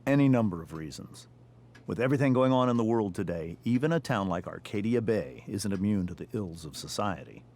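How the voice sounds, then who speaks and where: An actor playing a pompous middle-aged American district attorney and father, speaking in measured, formal sentences in a video game drama. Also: fairly low pitch, lively intonation, a little mellow. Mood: grave.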